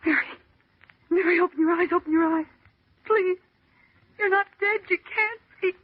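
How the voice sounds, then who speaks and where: A woman's voice: extremely high pitch, normal speed, no echo. An actress playing a frightened office woman fighting to keep control, urgent and pleading, in an old-time radio horror drama. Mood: panicked. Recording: tinny, muffled vintage radio recording.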